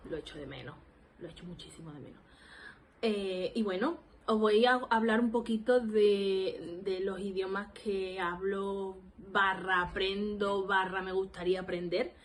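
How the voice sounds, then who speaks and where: A woman in her twenties from Spain with an Andalusian accent, rambling casually to her camera as she introduces her language vlog. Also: medium pitch. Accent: Andalusian Spanish. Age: 20s. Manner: rambling and self-deprecating, lots of laughs and fillers. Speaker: language learning vlogger.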